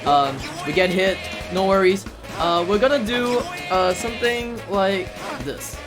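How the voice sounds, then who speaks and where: A man playing a video game live and commentating on it casually in a jokey, excitable way as a speedrunner. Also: sits high, measured pace. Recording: game music behind the voice. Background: video game music.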